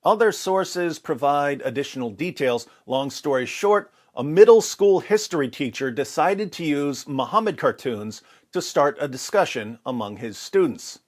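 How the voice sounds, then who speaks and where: A man delivering scripted commentary as a host, in an emphatic, deliberate, rhetorical manner. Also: fairly high pitch, animated.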